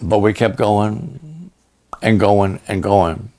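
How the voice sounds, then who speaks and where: Older man, pastor, raspy, hoarse, very slow delivery, halting and repetitive. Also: fairly low pitch, expressive, dark tone. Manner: slow, halting, plainspoken, repeats himself. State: hoarse after illness and a long program. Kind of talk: slow personal update to supporters.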